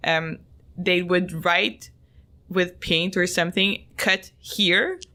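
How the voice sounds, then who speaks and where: Quebec French-accented woman, bright tone. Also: lowish voice, steady tone, slow. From Montreal. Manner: laughs often, explains with hesitations. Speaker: podcast co-host. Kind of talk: casual podcast chat.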